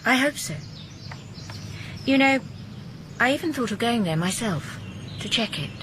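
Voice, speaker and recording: Casting: a perceptive widow with a measured delivery, with birdsong and ambience behind. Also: female voice, on the high side, animated, background noise. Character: composed, perceptive middle-aged widow of a policeman. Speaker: policeman's widow. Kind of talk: radio drama acting.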